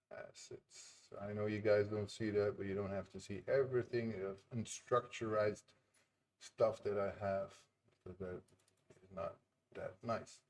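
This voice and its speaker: A man's voice: fairly low pitch, darkish tone. A man chatting casually on a live stream while building his game, laughing often and muttering to himself as he works. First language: Dutch. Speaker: indie game developer streaming.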